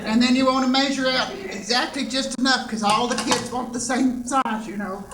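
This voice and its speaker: A homely, folksy grandma played by a stage performer rambles and repeats herself through a comic sponsor cooking demonstration. The voice is highish and echoey, caught at a distance under loud noise. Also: steady tone, moderate pace, natural voice. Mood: homespun, rambling. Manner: rambling, repetitive, homespun. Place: live theatre stage.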